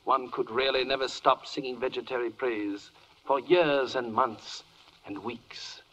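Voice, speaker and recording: A man's voice: medium pitch, fairly even intonation, measured pace, dull audio, very noisy, natural voice. An actor playing a grandiose, self-satisfied spokesman who recites florid rhymed verse in a 1940s radio comedy. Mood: grandly proud. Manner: florid, declamatory.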